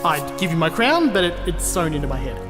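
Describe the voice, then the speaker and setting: An actor playing a gentle, self-doubting living scarecrow king in a fantasy audio drama, earnest and self-deprecating. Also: a little high, big pitch swings, a little fast, over music.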